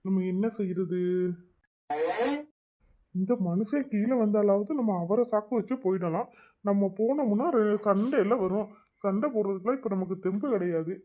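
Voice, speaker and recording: A woman's voice: mid-range voice, moderate pace, warm tone, close to an everyday voice. Brief: put-upon young cartoon wife, everyday mid voice, voiced by a woman. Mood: worried. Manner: complaining, pleading. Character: harried young daughter-in-law, put-upon. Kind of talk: cartoon voice acting.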